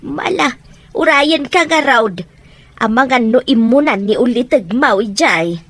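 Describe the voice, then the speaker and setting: A woman's voice: on the high side, lively intonation, a little slow, fairly bright tone. A woman acting an outspoken, teasing mother and wife with a Filipino accent in an Ilocano radio drama. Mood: everyday, chatty.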